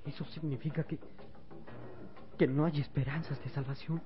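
A man's voice: on the high side, steady tone, moderate pace, natural voice. An actor playing a frightened, loyal boy in a radio serial, with an anxious, pleading, emotional delivery. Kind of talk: Spanish-language radio serial acting. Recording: dull old radio recording with music.

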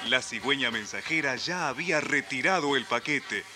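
A man's voice: mid-range voice, expressive, normal speed, natural voice. A man with an Argentine accent playing a solemn, dramatic narrator in scripted radio theatre. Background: music.

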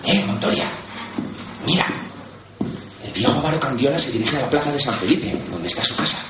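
A man's voice: mid-range voice, expressive, fairly quick, heavy background noise, lightly acted. A man playing a grim, wary soldier in a zombie-siege radio drama. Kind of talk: dramatic scripted narration and acting. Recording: echoey, distant, loud music behind.

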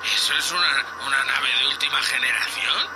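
A man's voice: medium pitch, steady tone, normal speed, noisy background, natural voice. A man with a Castilian Spanish accent playing a nervous, deferential young spaceship crewman in a sci-fi audio drama. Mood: puzzled, nervous. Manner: hesitant, anxious, deferential, full of questions.

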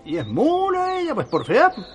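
A man's voice: very high-pitched, wildly swooping pitch, normal speed, faint music behind, full character voice. A man playing a humble, stubborn peasant in a radio drama, with a rural Chilean accent and a rustic, deferential way of talking. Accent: rural Chilean Spanish. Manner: rustic, deferential, trailing tags.

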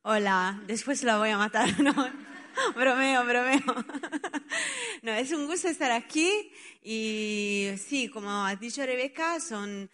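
Slow-spoken Italian woman in a reverberant hall. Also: a little high, a bit bright. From Italy. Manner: halting in a second language. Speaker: children's evangelism worker. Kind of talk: informal address to a congregation.

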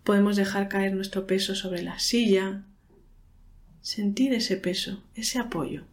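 A woman leading a guided meditation in a calm, unhurried, soothing manner with pauses. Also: mid-range voice. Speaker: meditation guide, podcast host.

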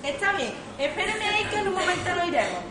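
A woman's voice: extremely high pitch, sing-song, quick pace. A woman playing a proud young lady, haughty and cutting, in an amateur radio farce. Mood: cool, suspicious. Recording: echoey, noisy amateur recording.

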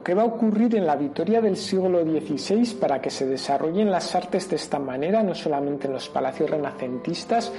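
A man's voice: fairly high pitch, warm tone. A man with an accent from Spain giving a didactic, explanatory radio talk on history. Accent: Spanish from Spain. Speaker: local heritage presenter.

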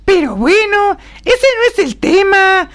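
A woman's voice: very high voice, sing-song, takes it slowly, fairly bright tone, exaggerated voice. A performer voicing a chatty, gossipy woman in a radio soap parody, with theatrical, florid phrasing.

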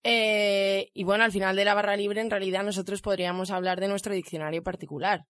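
A woman's voice: mid-range voice, fairly even intonation, fairly quick, fairly bright tone, dry recording, clean studio sound. A woman with an Aragonese Spanish accent chatting casually and playfully as a radio panelist. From Aragon, Spain. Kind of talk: casual chat.

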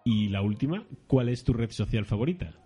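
A man's voice: medium pitch, animated, a little fast, warm tone, breathy edge, soft background music. A man hosting an interview with casual questions and chat. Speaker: interview host.

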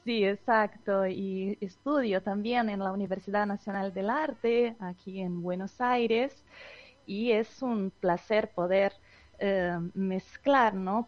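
A woman's voice: medium pitch, dry recording, lo-fi sound. Woman teacher on radio. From southern Brazil. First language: Portuguese. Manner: friendly, explanatory, with tag questions. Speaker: Portuguese and art teacher, guest. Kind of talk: radio interview.

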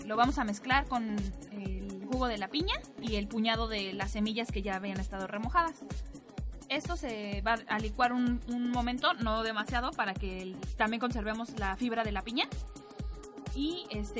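A woman hosting a radio show, chatty and full of filler words. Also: a little high. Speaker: radio host. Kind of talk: casual radio talk. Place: radio studio. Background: music.

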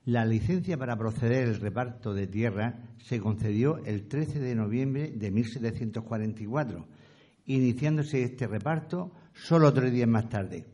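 A male narrator with a mellow, mid-pitched voice. He reads a scripted historical account. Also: expressive, normal speed.

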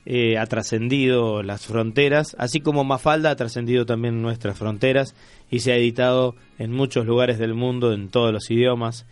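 A man's voice: medium pitch, fairly even intonation, moderate pace, clean tone, dry recording, faint music behind. A man with an Argentine accent hosting a casual radio magazine show, chatting and teasing his co-hosts. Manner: joking, teasing. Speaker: radio host. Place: radio studio.

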